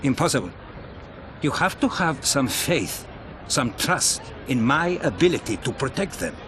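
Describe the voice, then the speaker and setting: An actor playing a proud, dismissive army general in a game cutscene, stiff and condescending. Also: male voice, mid-range voice, big pitch swings, a little slow. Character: proud, dismissive male army general, stubbornly self-assured. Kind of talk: cinematic game cutscene acting. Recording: loud background noise.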